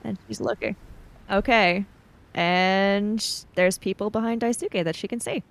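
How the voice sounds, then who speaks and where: A woman playing a tabletop role-playing game, narrating her character's actions in a hesitant, halting way. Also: medium pitch, steady tone, creaky.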